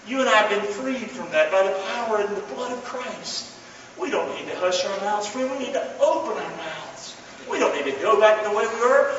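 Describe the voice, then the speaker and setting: A man preaching from the pulpit, with a high, bright, forceful voice. He talks in a folksy, emphatic way and draws the congregation into call-and-response. The recording is dull and catches him from far off in a big echoing hall. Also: slight background noise. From North Carolina. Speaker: pastor. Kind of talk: sermon. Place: large church sanctuary.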